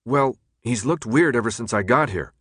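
A man's voice: mid-range voice, lively intonation, normal speed, fairly bright tone, husky, dry recording, clean studio sound. A man voicing a nervous, apologetic young newcomer in an audiobook, hesitant and pleading. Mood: uneasy. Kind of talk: audiobook character dialogue.

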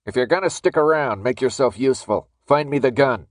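A man's voice: medium pitch, brisk, no room sound, clean studio sound, lightly acted. A man voicing a stern, angry armed guard in an audiobook, barking curt, menacing orders. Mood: gruff, commanding. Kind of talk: audiobook character dialogue.

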